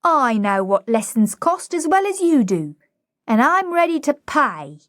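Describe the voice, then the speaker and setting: A woman's voice: high-pitched, very animated, unhurried, no echo, studio quality, pitched above the performer's own voice. A woman voicing a proud, indignant young Cockney flower girl, saucy and whining, in a dramatic play reading.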